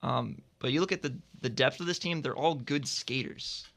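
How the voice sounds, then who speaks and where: A man's voice: mid-range voice, moderate pace, vocal fry, fairly bright tone. A man giving firm opinions and predictions as a panelist on a roundtable sports radio show, recorded in a studio.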